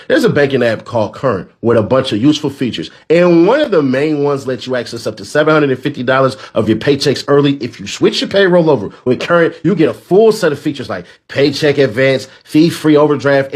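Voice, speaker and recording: A man hosting a commentary video, talking casually and reading out information to his viewers. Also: a little high. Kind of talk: casual commentary, reading out figures and sponsor copy.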